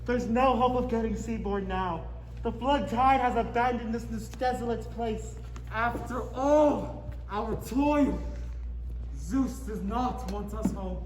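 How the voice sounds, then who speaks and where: A man's voice: very high voice, slow pace. A young man on stage playing an ambitious, despairing hero who leads sailors, in a theatrical style. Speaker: student stage actor. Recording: roomy stage, loud music and audience.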